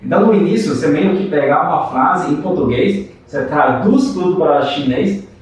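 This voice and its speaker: A man's voice: fairly high pitch, animated, normal speed. Chinese-born man, echoey distant podcast. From China. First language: Mandarin Chinese. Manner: frequent "né" tags, hesitant restarts. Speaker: podcast co-host. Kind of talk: casual podcast chat. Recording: echoey room, off-mic.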